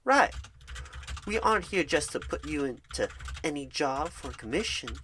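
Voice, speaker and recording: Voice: medium pitch, animated, normal speed, fairly bright tone, slight background noise. A speaker in an ASMR roleplay as an upbeat, encouraging job recruiter on a phone screening, talking in a wordy, reassuring way with pet names. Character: happy, eager, encouraging job recruiter and career coach. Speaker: ASMR performer playing a job recruiter and career coach.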